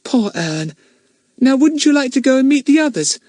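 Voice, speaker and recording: A man's voice: extremely high pitch, sing-song, moderate pace, mellow, no room sound, studio quality, voice pushed up, full character voice. A man voicing a tender-hearted little girl in a storybook reading. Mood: kind.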